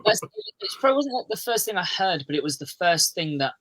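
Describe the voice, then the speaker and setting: English man, podcast guest, loose chatty storyteller, bright voice. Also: high-pitched, very animated, brisk. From Kent, southeast England. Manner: rambling, with many fillers and restarts. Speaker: musician guest. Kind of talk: casual chat.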